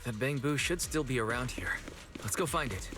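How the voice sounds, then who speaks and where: A man voicing a brisk, focused young adventurer in an anime-style video game. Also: medium pitch, lively intonation, lightly acted. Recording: game audio under loud music.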